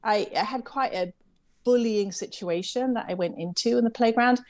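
A middle-aged woman from England with an English accent softened by years in America. She talks in a chatty, reflective way and laughs lightly at herself as she tells her story in an interview. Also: fairly high pitch, normal speed. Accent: English, with some American influence. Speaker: interview guest, camp CEO. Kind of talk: conversational interview.

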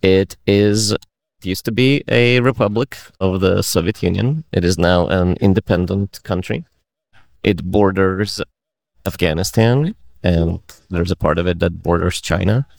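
Deep gravelly man. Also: unhurried, a little mellow. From Uzbekistan. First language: Russian. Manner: hesitant, wry understatement. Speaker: meetup attendee. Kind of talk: casual group conversation. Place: indoor event space.

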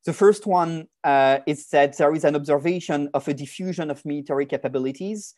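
A man with a French accent, speaking as a panelist over a video call and laying out his points one by one in a measured way. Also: fairly high pitch, darkish tone, dry recording, clean studio sound. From France. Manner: methodical, lays points out one by one. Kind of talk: online policy presentation.

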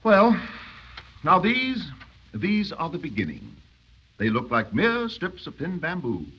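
An actor playing a suave, cruel, Oxford-educated mountain khan with urbane, mock-courteous menace in a 1930s radio drama. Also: male voice, fairly high pitch, huge pitch swings, slow pace, slightly rough, exaggerated voice. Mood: silkily sinister. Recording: dull 1930s radio broadcast.

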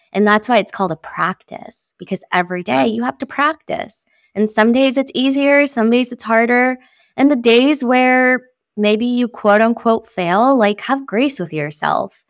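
A woman's voice: mid-range voice, no room sound. Earnest woman guest, dry close mic. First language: Spanish. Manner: earnest, reflective, speaks of love and spirit. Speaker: guest sound healer. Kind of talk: spiritual podcast conversation.

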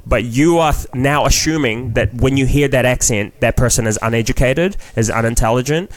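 A man's voice: medium pitch, fairly quick, rough recording. A man from New Zealand with a New Zealand accent, a guest comedian talking frankly and at length on a casual podcast, swearing freely and restarting his sentences.

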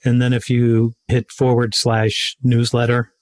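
A man's voice: mid-range voice, monotone, measured pace, no room sound. A man speaking as a podcast guest, emphatic and motivational, repeating his main points. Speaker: guest, sales author. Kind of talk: interview conversation.

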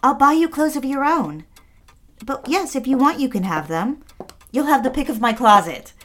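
A woman's voice: sits high, animated, normal speed. A woman playing an eager, lonely society housewife in a period audio drama, genteel and coaxing in manner. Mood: gushing, eager.

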